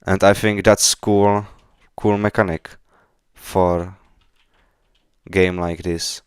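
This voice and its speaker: A man from the Czech Republic with a Czech accent, chatting casually on a live coding stream in halting, hesitant non-fluent English. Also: low-pitched, clean tone, dry recording, studio quality. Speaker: game developer live streamer. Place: indoors at a computer, keyboard clicks behind.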